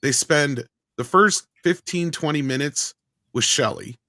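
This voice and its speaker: A man's voice: a little high, lively intonation, a little slow, sharp tone, no echo. A man talking casually on a film review panel, blunt and emphatic, swearing freely.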